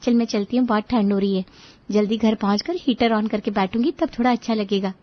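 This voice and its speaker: A woman's voice: mid-range voice, brisk, dull audio, lightly acted. A woman playing a friendly, teasing young woman, an old friend, chatty and frank, in a cartoon story. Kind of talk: Hindi moral-story cartoon dubbing.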